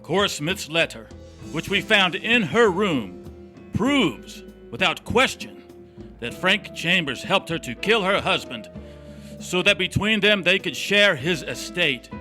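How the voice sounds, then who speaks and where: Man acting a forceful, slow, thundering prosecutor. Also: high-pitched, very animated, faint music behind, exaggerated voice. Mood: accusing. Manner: declamatory, deliberate, emphatic. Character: fiery, righteous courtroom prosecutor, man, thundering condemnation. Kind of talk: old-fashioned melodramatic courtroom oratory.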